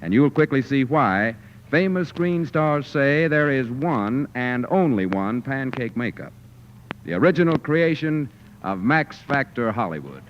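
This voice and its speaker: A man's voice: mid-range voice, very animated, a little slow. An American man announcing on 1940s radio, reading an ad in a polished, salesmanlike style. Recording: dull, faintly noisy 1940s radio recording.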